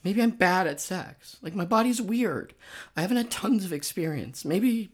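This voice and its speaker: A woman's voice: mid-range voice, lively intonation, moderate pace, breathy edge. An actress playing a wry, self-doubting middle-aged woman songwriter in a naturalistic comedy radio play, rambling and hesitant. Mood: insecure.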